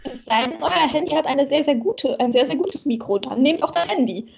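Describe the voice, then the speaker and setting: A woman's voice: high voice, lively intonation, a little fast, gravelly. A woman chatting casually and emphatically as co-host of a livestream. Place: room at home, on a group video call. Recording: slightly dull voice-chat sound.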